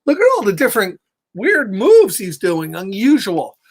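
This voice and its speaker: A middle-aged man reading aloud and telling casual stories to children in an encouraging, expressive, chatty way. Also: high voice, normal speed, breathy edge. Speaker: storytime reader for children. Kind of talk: animated read-aloud and casual personal storytelling.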